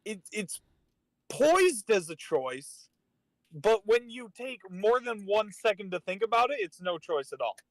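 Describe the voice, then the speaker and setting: A man with a high voice offers casual commentary as a panelist. He reflects on storytelling in a conversational way. The recording is dry, with hardly any room sound. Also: very animated, measured pace, no echo, low-quality recording. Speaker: panelist on a fiction after-show.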